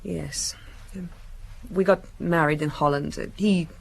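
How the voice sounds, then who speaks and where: A scheming lady crook with refined airs, cornered in a radio mystery. Her low voice is guarded, with hesitant pauses as she gives things away. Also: fairly even intonation, gravelly, husky, heavily acted. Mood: subdued, confessing. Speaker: actress in a detective radio drama. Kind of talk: broadcast radio drama acting.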